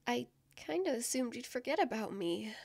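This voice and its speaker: A woman playing a shy, anxious, gentle young woman in a fantasy audio drama, speaking softly and hesitantly with a stammer. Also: on the high side, animated, moderate pace, gravelly, breathy edge, no room sound, heavily acted. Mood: touched, bashful. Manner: hesitant, stammering, soft-spoken.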